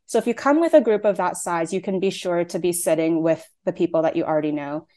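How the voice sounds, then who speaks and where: Young woman, playwright, lowish pitch, soft mellow tone, casual chatty answers with lots of fillers. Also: fast. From Canada. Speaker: playwright and actor.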